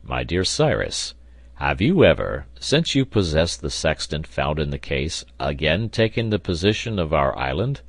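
Man reading as a courteous, curious reporter, low-voiced. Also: sing-song, normal speed, no echo, exaggerated voice. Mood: inquisitive. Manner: polite, inquisitive, formal. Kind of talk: audiobook character dialogue.

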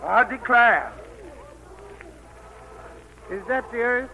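An actor playing a loyal, eager angel who serves the Lord, respectful and folksy, with a Southern US accent in an old radio play. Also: male voice, very high-pitched, extremely slow, phone-quality sound, full character voice. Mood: admiring, obedient. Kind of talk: 1940s radio play acting.

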